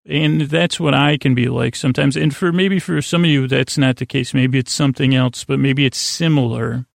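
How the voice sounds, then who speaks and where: Creaky, meandering man hosting a sleep podcast. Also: mid-range voice, steady tone, no room sound, studio quality. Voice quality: creaky, gravelly. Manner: meandering, halting, stumbling over words, trailing into tangents. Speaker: podcast host. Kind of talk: rambling bedtime monologue to lull listeners to sleep.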